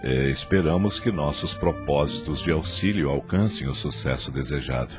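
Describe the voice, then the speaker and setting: An actor playing an earnest male spirit rescuer in a radio drama, formal, grave and gentle. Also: a bass voice, normal speed. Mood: grave, hopeful. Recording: music under the voice.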